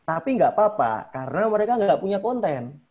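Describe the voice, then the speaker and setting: A man with a Javanese accent, a YouTuber guest giving casual advice in an online seminar, emphatic and animated when making a point. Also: high voice, brisk, highs cut off.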